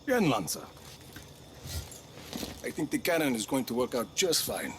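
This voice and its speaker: A man's voice: mid-range voice, big pitch swings, unhurried, a little hiss or hum. An actor playing a blunt, impulsive male action hero in a video game cutscene, terse and direct.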